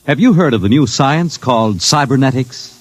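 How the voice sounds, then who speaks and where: A man's voice: medium pitch, expressive, normal speed, no echo. A man announcing a 1950s radio drama in a grand, theatrical manner.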